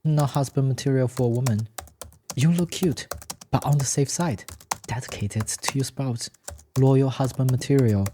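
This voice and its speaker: A man's voice: medium pitch, moderate pace, mellow, a little hiss or hum, pitched above the performer's own voice, lightly acted. A man voicing a blunt, scolding mature woman accountant in an audiobook story. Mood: blunt, matter-of-fact.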